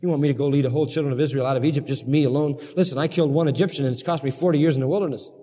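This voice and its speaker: A man's voice: fairly high pitch, steady tone, rapid-fire, warm tone, natural voice. Male preacher acting out an emphatic bible prophet guy, plain talking, strong willed but unsure, echoey hall. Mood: self-doubting protest. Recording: reverberant hall sound.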